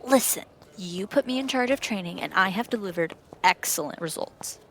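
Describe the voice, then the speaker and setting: A woman's voice: mid-range voice, expressive, normal speed, a bit bright, a little hiss or hum. A woman playing a bossy, sarcastic, cocky combat trainer in an audio drama. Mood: smug, teasing.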